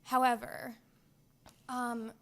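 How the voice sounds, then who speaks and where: A woman's voice: on the high side, flat delivery, very slow, fairly bright tone. A young woman hosting a casual podcast, chatting about a video game in a playful, gushing, enthusiastic way. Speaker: podcast host.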